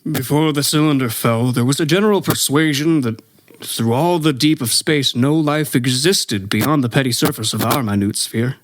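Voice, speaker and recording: A man plays a grave professor looking back on catastrophe in a radio play. His delivery is measured, literary and pensive. The sound is dry, with no room around the voice. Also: medium pitch, no room sound, natural voice, poor audio. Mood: wistful, reflective. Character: solemn male professor, survivor of a Martian invasion, reflective. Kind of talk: dramatic radio-play monologue, narrated memoir.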